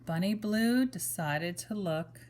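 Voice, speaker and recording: A woman reading a children's story aloud in a measured, expressive manner, as if to young children. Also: mid-range voice. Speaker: storybook reader. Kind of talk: children's story read-aloud with brief asides to listeners.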